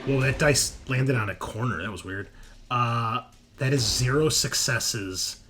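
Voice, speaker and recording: A man running a tabletop game as game master, narrating casually and excitably with informal asides. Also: mid-range voice, measured pace, slight background noise. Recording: music behind the voice. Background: background music.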